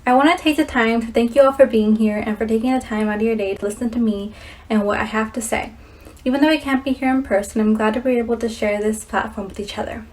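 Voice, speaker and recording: A woman's voice: on the high side, rough recording. A teenage girl from California, speaking earnestly in a recorded video speech and restarting words now and then. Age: teens. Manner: earnest, slightly halting with restarts. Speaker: scholarship winner.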